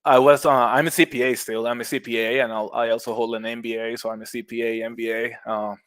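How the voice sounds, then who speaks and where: Puerto Rican man, flat, dry-sounding voice. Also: medium pitch, quick pace, no echo. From Puerto Rico. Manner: friendly, laughs often, restarts words. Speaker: guest, mushroom business owner. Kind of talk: casual podcast interview.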